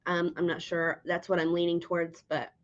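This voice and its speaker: Low-voiced woman interviewer, dull audio. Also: monotone, normal speed. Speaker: interviewer, host. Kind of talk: casual interview chat.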